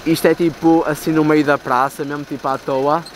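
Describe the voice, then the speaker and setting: A man's voice: fairly high pitch, level tone, a little fast, music in the background. A young man in his twenties with a European Portuguese accent, chatting casually to a camera held at arm's length while touring a city, rambling and full of fillers. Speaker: travel vlogger. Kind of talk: casual travel vlog. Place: outdoors in city streets and inside a stone cathedral and a big store. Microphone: handheld camera at arm's length. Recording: city street noise behind.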